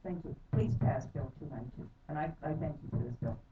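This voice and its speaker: A woman's voice: rumbling low, fast. A middle-aged woman giving earnest, heated public testimony at a council hearing. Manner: earnest, heated, apologizes for anger. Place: county council chamber. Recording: echoey hall from far off, dull and noisy.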